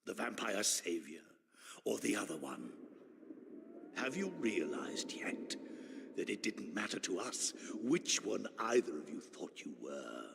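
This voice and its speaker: A man voicing a gloating ancient villain in a video game, theatrical and savoring each phrase, with a gravelly voice. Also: deep voice, fairly bright tone, in a large hall, exaggerated voice. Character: gloating ancient villain, a sinister servant of dark masters. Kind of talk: dramatic video game voice acting.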